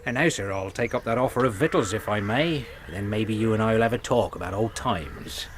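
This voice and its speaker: A man's voice: on the low side, moderate pace, slight background noise, close to an everyday voice. An actor playing a sly, weather-beaten old sailor in a radio drama, ingratiating and salty in his talk. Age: older. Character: sly, weather-beaten old seaman fallen on hard times.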